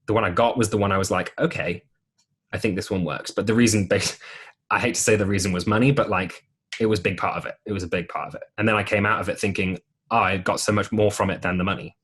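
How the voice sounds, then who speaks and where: A young man with a deep voice telling stories in a casual podcast interview, with plenty of ums and restarts. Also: fast, breathy edge. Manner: relaxed storytelling with many ums and restarts. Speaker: podcast guest, musical theatre performer.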